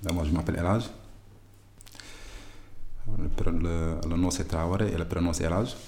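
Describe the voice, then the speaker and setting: A man's voice: low-pitched, steady tone, moderate pace, a little mellow. A man from Senegal with a Senegalese French accent, recalling his past in an interview, hesitant and full of fillers and restarts. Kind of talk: personal recollection.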